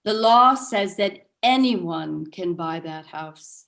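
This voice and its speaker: A woman playing a stern, self-righteous, sharp-tongued suburban neighbour, curt and disapproving, in a staged play reading. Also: fairly low pitch, expressive, slow pace, full character voice. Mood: cautioning.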